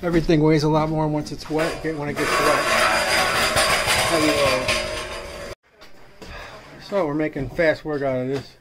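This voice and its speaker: A man who runs a junk removal business, narrating brisk on-the-job video updates from a house cleanout, filmed on a handheld phone. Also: a little high, flat delivery. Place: outdoors and in a basement at a house cleanout. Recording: noisy job-site phone recording.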